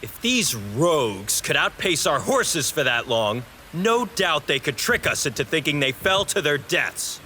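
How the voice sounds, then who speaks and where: An actor playing an imperious cavalry captain in a fantasy audio drama, giving haughty, formal commands. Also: male voice, fairly high pitch, sing-song, measured pace, pushed and bright, a little hiss or hum, full character voice. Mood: scornful, decisive. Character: imperious male cavalry captain hunting fugitives.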